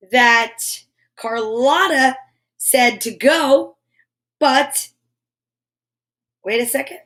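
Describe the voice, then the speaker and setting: A high-pitched woman hosts a children's story time. She is effusive and encouraging, moving between chatty asides and reading aloud, with a playful way of addressing her young listeners. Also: low-quality recording. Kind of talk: live children's show chat and read-aloud.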